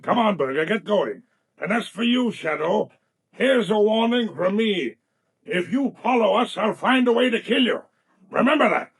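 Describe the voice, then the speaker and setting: Ruthless, bullying crime boss, dry sound. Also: male voice, high voice, animated, moderate pace, slightly rough, dry recording. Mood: defiant, threatening. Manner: hard, impatient, bullying. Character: ruthless, domineering swindler and would-be killer, adult man. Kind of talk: 1930s radio drama acting. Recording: vintage 1930s radio broadcast.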